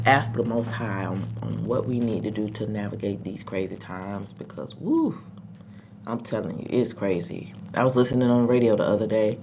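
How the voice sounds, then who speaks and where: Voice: medium pitch, creaky. A sick, congested vlogger talking casually to viewers, rambling with many ums and repeated words. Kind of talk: casual commentary vlog. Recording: dull lo-fi with faint background noise.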